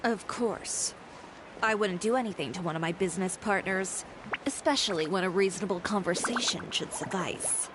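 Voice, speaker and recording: A woman playing a proud, direct, self-assured young fashion designer in an animated game. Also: medium pitch, normal speed, background noise, close to an everyday voice. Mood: composed resolve. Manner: direct, composed, cutting.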